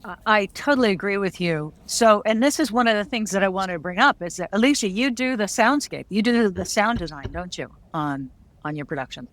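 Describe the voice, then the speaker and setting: A woman's voice: medium pitch, expressive, brisk, a bit bright, no echo. Woman host, dry close sound. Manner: conversational, rambling questions. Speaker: interviewer host. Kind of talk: casual interview questions.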